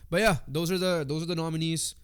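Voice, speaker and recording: Congested man, smooth clear voice, sports podcast co-host, halting list reading. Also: on the high side, fairly even intonation. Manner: reads off lists haltingly with fillers. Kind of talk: casual sports chat.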